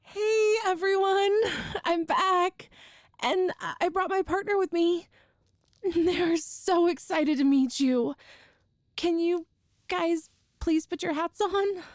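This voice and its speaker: Woman acting a young girlfriend, high-pitched, slow-paced, nervous, tender, earnest, hesitant, intimate ASMR roleplay. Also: dry recording, studio quality. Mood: bright greeting. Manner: hesitant, halting, earnest, breathy sighs and nervous laughs. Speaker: audio roleplay performer.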